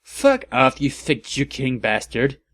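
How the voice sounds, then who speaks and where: A man's voice: mid-range voice, wildly swooping pitch, slow pace, a bit bright, no room sound, heavily acted. A man voicing a brash, foul-mouthed teenage goth girl in a dramatic fan fiction reading. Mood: angry.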